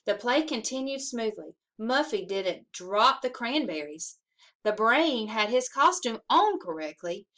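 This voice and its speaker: A woman reading a children's story aloud in an expressive, animated way, acting out the dialogue with emphasis. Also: on the high side, measured pace. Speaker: assistant principal reading aloud to schoolchildren.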